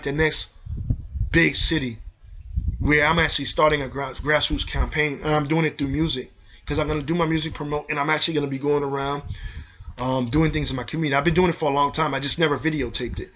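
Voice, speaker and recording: Fast-talking, forceful man ranting. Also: a little high, fairly even intonation. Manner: heated, preachy, repetitive, addresses viewers as y'all. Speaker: video host. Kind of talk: impassioned vlog rant to viewers. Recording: dull lo-fi sound.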